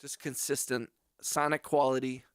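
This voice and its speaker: A relaxed man talks to his chat during a late-night production stream, giving friendly shout-outs and wandering through half-finished thoughts. His voice sits at an ordinary middle pitch and sounds dry and close, with no room around it. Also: normal speed, clear, resonant tone, no room sound. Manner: rambling, thinks aloud, often questions himself. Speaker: livestream music producer. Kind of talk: casual livestream chat. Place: home studio.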